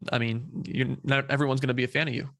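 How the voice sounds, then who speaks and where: A young man speaking as a guest in a casual interview, talking in a halting way with many fillers and repeated words. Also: medium pitch, little pitch movement, fairly bright tone, no room sound, studio quality. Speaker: guest, social media creator.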